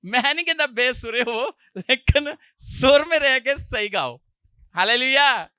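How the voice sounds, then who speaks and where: A male pastor, preaching a sermon with a sore throat, often clearing it and rising loudly on hallelujah. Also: very high voice, no room sound, studio quality. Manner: preaching with loud rises on hallelujah and jokey asides.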